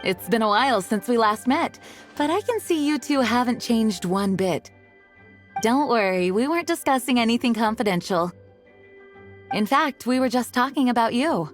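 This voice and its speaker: Polite dutiful knight captain played by a woman, slightly high voice, measured and formal, game music behind. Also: animated, lightly acted. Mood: composed. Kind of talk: video game cutscene voice acting.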